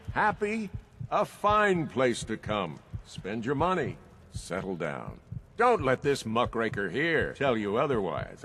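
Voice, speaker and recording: Game mayor: a blustering, slick middle-aged politician, extremely animated intonation, unhurried, pompous oratory. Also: male voice, fairly high pitch, a little hiss or hum, heavily acted.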